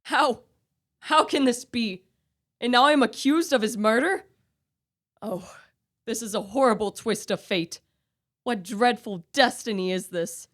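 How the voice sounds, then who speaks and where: A woman's voice: on the high side, a little slow, long pauses, fairly bright tone, no echo, studio quality. A young woman playing a tormented, guilt-ridden young man in a radio play, with earnest, formal literary diction. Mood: anguished, guilty. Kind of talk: student radio play acting.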